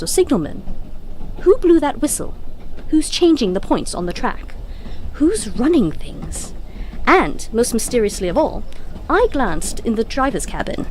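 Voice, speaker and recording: An eccentric, brainy time traveller, played by a woman, speaking in a high, swooping voice. She is breezy and whimsical, lecturing like an audio drama heroine, with some background noise underneath. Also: poor audio. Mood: puzzled. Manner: whimsical, chatty, lecturing, quaint turns of phrase.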